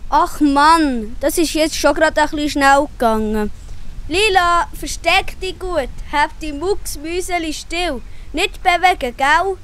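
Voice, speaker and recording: A woman's voice: high-pitched, unhurried, a bit bright. A woman with a Swiss accent voicing a friendly, loyal little boy in a children's audio play. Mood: disappointed, hushed.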